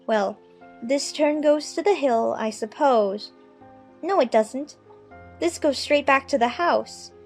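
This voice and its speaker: Woman doing a curious little storybook girl, high voice, polite and musing, bit of music behind. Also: takes it slowly. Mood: musing, puzzled. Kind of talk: dramatized children's audiobook acting.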